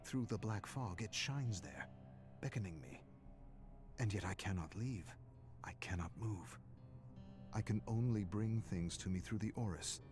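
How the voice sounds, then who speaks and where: A weary, brooding scholar narrates his journal from a foggy prison in a video game. His voice is low and his delivery is measured, formal and solemn. Music plays clearly behind him. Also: animated. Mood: haunted, yearning.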